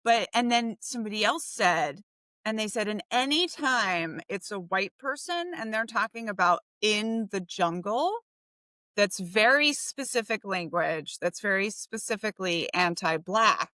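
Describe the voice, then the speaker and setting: A woman's voice: mid-range voice, animated, a little slow, pushed and bright, dry recording. A woman from Brazil, a podcast co-host and author, explaining things emphatically in casual conversation. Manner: emphatic, explains and argues in long stretches.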